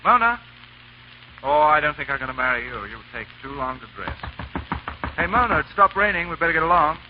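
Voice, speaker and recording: Lively, boyish young gentleman. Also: on the high side, brisk, slight background noise. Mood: brisk, impatient. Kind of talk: 1930s radio romantic comedy acting. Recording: muffled 1930s radio recording with music.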